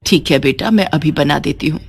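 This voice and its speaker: A woman voicing a gentle, long-suffering old village mother in a moral story, meek and plaintive. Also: deep voice, fairly quick, gravelly, husky, pitched below the performer's own voice, exaggerated voice. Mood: meek, pleading. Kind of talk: acted dialogue in a moral story.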